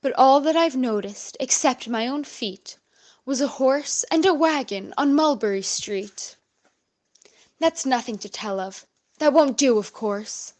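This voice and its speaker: A woman with a notably high voice performs as a guest storyteller. She reads expressively, with a rhythmic storybook delivery meant for children. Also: unhurried, darkish tone. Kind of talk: dramatic reading of a children's story.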